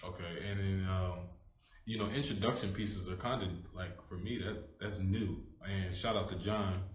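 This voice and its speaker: Male interview host, low voice, easygoing, roomy echo. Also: steady tone, normal speed. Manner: laid-back, affirming with frequent mm-hmms. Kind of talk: casual interview talk.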